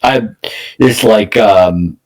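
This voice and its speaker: A man's voice: on the low side, very slow, a little mellow, recorded from a distance, low-quality recording. Enthusiastic man co-hosting a casual podcast. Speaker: podcast co-host. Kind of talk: casual film discussion podcast.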